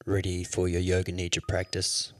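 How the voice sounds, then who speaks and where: A man leading a guided yoga nidra meditation as an instructor, with soothing, measured instructions. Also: low-pitched, completely flat delivery, a bit bright, dry recording.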